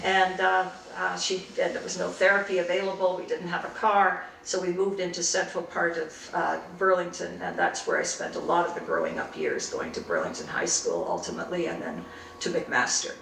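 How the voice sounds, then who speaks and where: An older woman from Canada, recalling her early life and studies in an unhurried, reflective way in an oral history interview. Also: fairly low pitch, vocal fry, fairly bright tone, roomy sound, distant mic, slight background noise, low-quality recording. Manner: reflective, unhurried storytelling with frequent restarts.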